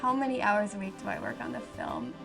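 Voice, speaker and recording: A woman's voice: mid-range voice, a little fast, creaky. A young woman, an animation student, talking reflectively in a documentary-style interview. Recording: music behind the voice. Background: music.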